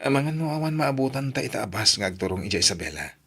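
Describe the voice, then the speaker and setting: A male actor plays a young boyfriend in a romantic drama. He speaks at a middle pitch with a smooth, affectionate, reassuring manner. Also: big pitch swings, fast, a bit bright, exaggerated voice. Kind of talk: Ilocano radio-drama style acting.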